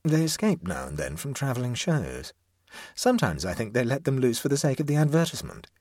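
A man's voice: medium pitch, huge pitch swings, fairly quick, darkish tone, dry recording, clean studio sound, pitched above the performer's own voice. A man voicing an idle, flippant young house guest in a storybook, lazily and drily mocking. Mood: mischievous teasing.

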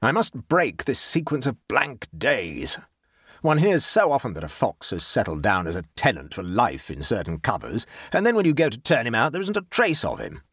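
A morose English major, performed by a male storybook narrator, whose pitch moves up and down freely as he grumbles. He is a gloomy hunting man who complains and repeats himself. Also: wildly swooping pitch, moderate pace, a bit bright, husky, dry recording, studio quality, exaggerated voice. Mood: moody, grumbling.

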